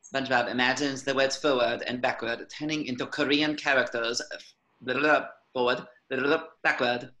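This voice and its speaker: A man's voice: mid-range voice, steady tone, normal speed, pushed and bright, low-quality recording. A man reading out stage directions as the narrator of a cartoon script table reading.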